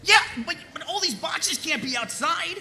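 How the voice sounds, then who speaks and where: A man playing a short-tempered, scolding soldier in charge, in a comedy table read of an animated series. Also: very high voice, normal speed, gravelly, full character voice. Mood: exasperated.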